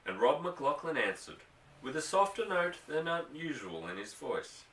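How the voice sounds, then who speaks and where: Male audiobook narrator, bright and forceful, highly animated pitch, literary prose read dramatically, distant roomy recording. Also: normal speed. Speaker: audiobook reader. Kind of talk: scripted literary narration of a novel. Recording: roomy echo, distant microphone.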